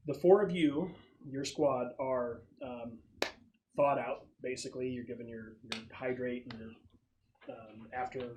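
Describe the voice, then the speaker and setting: A man acting as game master, explaining the setup casually in a halting, thoughtful way. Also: medium pitch, a little mellow, slight background noise, poor audio. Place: casual online or home gaming session.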